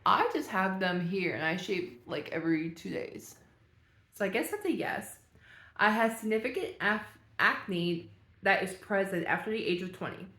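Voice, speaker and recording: A young woman talks casually to her viewers, thinking aloud and laughing at herself as she works through a quiz. Her voice sits at an ordinary middle pitch. The room around her gives a noticeable echo. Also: animated, normal speed, fairly bright tone. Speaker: vlogger. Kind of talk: casual talk while reading a quiz aloud.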